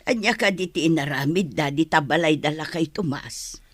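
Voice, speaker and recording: A woman playing a gentle, caring middle-aged village mother, earnest and motherly, in an audio drama. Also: low-pitched, normal speed, dry recording. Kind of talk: radio drama dialogue.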